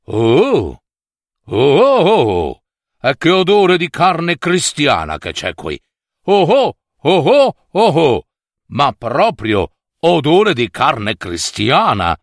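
Fairy-tale ogre, played by a man: enormous, gruff, greedy, grumbling, with slow delivery and very animated pitch. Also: no room sound, clean studio sound, heavily acted. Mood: greedy, excited. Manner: exclaiming, smacking relish, grumbling. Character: huge, hungry, gruff man-eating male ogre, a father.

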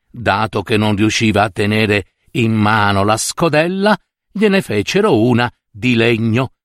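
A man telling a fairy tale as an expressive storyteller, with theatrical, deliberate phrasing and short pauses. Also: medium pitch, dry recording, studio quality. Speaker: storyteller and adapter.

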